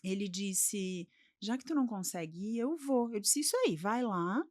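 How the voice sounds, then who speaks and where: A woman's voice: medium pitch, lively intonation, moderate pace, a little mellow, dry recording. A middle-aged woman from Brazil, a podcast guest telling her personal story in a reflective way, often checking in with "né?".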